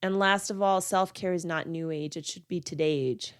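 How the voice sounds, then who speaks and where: A woman's voice: lowish voice, fairly even intonation, moderate pace, clear, resonant tone. Congested woman hosting a podcast. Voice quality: nasal. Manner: earnest, repeats words for emphasis. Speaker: podcast host. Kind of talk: solo podcast talk.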